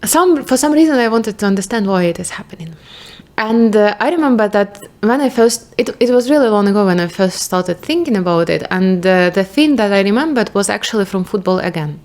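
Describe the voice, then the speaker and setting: A woman's voice: mid-range voice, lively intonation, brisk, a little mellow. A young woman with a Ukrainian accent, talking casually to camera as a vlogger, thinking aloud in a rambling way with restarts and the odd swear. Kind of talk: casual reflective monologue.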